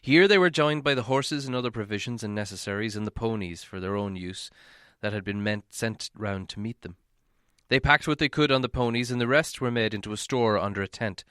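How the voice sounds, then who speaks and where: A man reading a story aloud as narration, in a measured, dramatic storytelling manner. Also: on the low side, a bit bright, clean tone, no echo, clean studio sound. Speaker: audiobook reader.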